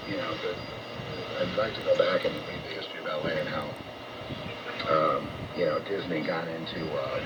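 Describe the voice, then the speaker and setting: A man's voice: deep voice, distant mic. Noisy muffled shortwave radio, rambling American man. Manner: halting and repetitive, grandiose, coarse-spoken. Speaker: radio talk host and monologuist. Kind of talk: rambling off-the-cuff radio monologue.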